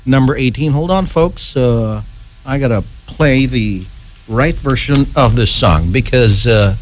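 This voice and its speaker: A middle-aged man hosting a radio chart countdown in a casual, ad-libbed way, rambling and halting, often checking in with an "okay". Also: mid-range voice, slight background noise. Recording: music playing behind close, dry voice. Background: music.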